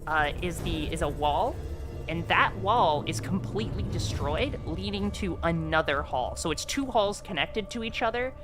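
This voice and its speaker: A game master narrating a tabletop roleplaying game online in a halting, explanatory way. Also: medium pitch, lively intonation. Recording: background music behind the voice.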